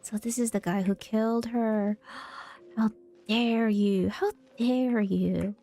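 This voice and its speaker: Slow-talking woman streaming a game. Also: on the high side, steady tone, dark tone, soft background music. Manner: reactive, murmuring to herself in short phrases. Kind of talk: casual playthrough commentary, reading game text aloud.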